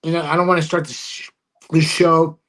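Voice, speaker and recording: Man, first language spanish, guest, gushing, joking, bright tense voice, video call. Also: a little high, little pitch movement, a little fast. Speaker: podcast guest. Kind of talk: casual sports podcast chat.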